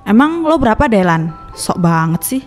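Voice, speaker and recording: A woman playing a snappy, sarcastic, easily offended college student with speech in an animated horror story. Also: medium pitch, normal speed, soft background music, lightly acted. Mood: scornful. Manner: scoffing, confrontational.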